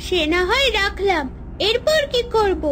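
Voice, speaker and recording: A very high-pitched excitable girl. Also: measured pace, heavily acted. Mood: cheeky. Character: excitable young girl adventurer in fairy tale. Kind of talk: cartoon fairy tale dialogue. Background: background music.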